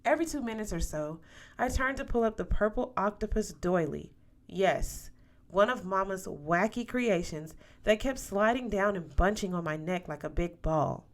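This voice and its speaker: Woman narrating a story, medium pitch. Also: animated, normal speed. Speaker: audiobook reader. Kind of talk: scripted first-person story narration.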